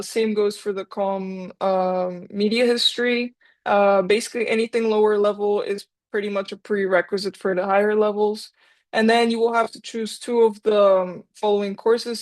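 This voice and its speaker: A woman's voice: medium pitch, darkish tone. A young woman from Bulgaria, a senior student, explaining her major at a university event, chatty and sometimes searching for words. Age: young adult. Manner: chatty, loses her words now and then. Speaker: student speaker. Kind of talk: informational talk at a university event.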